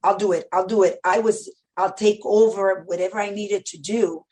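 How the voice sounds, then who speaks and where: A middle-aged woman speaks in a mid-pitched voice with a Dominican Spanish accent. She tells personal stories as an interview guest, emphatically, often repeating a phrase to drive it home. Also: steady tone, moderate pace, warm tone. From Dominican Republic. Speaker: podcast guest, medical center executive.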